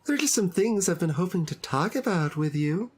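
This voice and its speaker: Woman acting a sweet, low-voiced schoolgirl club president. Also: expressive, brisk, a little mellow, slightly rough. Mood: earnest confiding. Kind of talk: visual novel voice acting. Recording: music behind the voice. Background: background game music.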